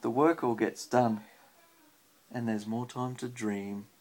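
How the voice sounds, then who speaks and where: An Australian man with a husky, creaky voice, reading a picture book aloud and chatting simply with a child as a parent would. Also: mid-range voice, fairly even intonation, slow, darkish tone.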